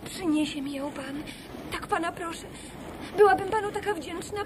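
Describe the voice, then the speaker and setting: Scared young woman in a radio play, very high and pleading, noisy old tape. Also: moderate pace. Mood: frightened pleading. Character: frightened young woman caught in a blackmail drop. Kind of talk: 1990s radio drama acting.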